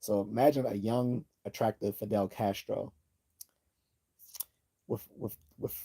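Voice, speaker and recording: A man hosting a casual livestream, reading viewer comments aloud and rambling with many restarts and throat clearing. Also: fairly low pitch, steady tone, measured pace, mellow. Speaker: livestream host.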